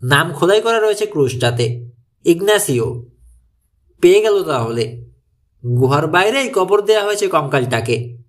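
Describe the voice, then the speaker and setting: A man's voice: high-pitched, animated, measured pace, long pauses. A man narrating a mystery story in a dramatic, suspenseful storytelling manner. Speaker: audiobook narrator.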